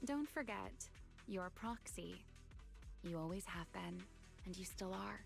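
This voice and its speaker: A woman playing a composed, enigmatic fighter and mentor in an anime-style game, unhurried, playful and slightly formal. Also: mid-range voice, animated, gravelly, soft background music. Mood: reassuring.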